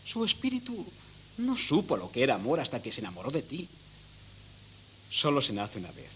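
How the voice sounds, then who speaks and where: Radio play casting: confident, teasing grown son, coaxing, swooping intonation, hissy old tape. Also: male voice, mid-range voice, fairly bright tone. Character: self-assured, teasing grown son managing his parents. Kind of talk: 1970s radio drama acting. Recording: noisy old cassette radio recording with hum.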